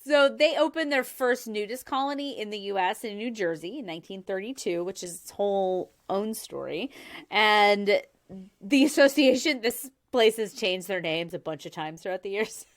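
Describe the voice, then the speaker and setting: A woman's voice: medium pitch, moderate pace. A woman in her thirties hosting a casual chat, laughing often and explaining her research step by step. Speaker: podcast host presenting research.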